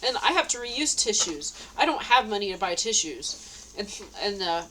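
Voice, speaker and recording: A woman's voice: medium pitch, very animated, normal speed, sharp tone, slight background noise, low-quality recording. A woman with a stuffy nose, talking at home in a rambling, meandering way, full of fillers and restarts. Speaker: vlogger. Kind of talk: rambling personal diary talk. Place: at home indoors.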